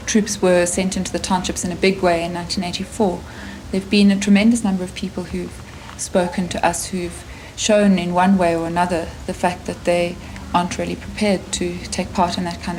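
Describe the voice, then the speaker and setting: A woman with a South African accent answering in an unscripted interview as a campaigner, pausing and rephrasing as she goes. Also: on the low side, little pitch movement, a little mellow, a little hiss or hum, poor audio. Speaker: anti-conscription campaigner.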